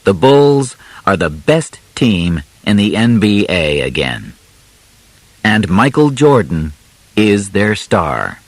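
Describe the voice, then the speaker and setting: A man reading a scripted audiobook in simple, measured phrases with clear pauses. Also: lowish voice, very animated, no echo. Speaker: audiobook narrator. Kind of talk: scripted narration of a simplified biography for learners.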